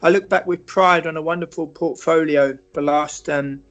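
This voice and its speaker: British man, measured interview talk. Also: fairly high pitch, fairly even intonation, a bit bright, dull audio. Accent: British English. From Britain. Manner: measured, reflective, frequent restarts and fillers. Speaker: football writer and interview guest. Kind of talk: conversational interview answers.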